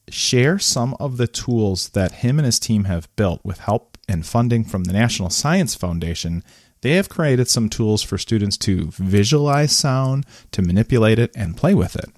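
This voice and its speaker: A man's voice: fairly low pitch, expressive, measured pace, no echo, studio quality. A man co-hosting a podcast, chatting casually and laughing as he rambles through his thoughts. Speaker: podcast co-host. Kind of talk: casual chat. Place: home basement.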